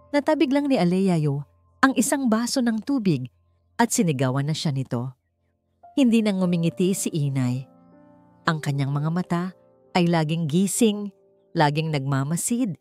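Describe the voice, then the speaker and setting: A woman narrating a dramatic story from a script in a measured, suspenseful way. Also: on the low side, warm tone, dry recording. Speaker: audiobook narrator.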